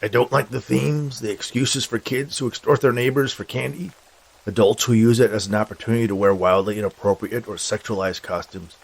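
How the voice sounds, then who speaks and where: A man's voice: fairly low pitch, steady tone, brisk, creaky, breathy edge, low-quality recording. A man voicing a grumpy, stubborn old man in a story, with curt, sardonic, dismissive delivery. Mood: irritated, dismissive. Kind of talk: storybook character dialogue.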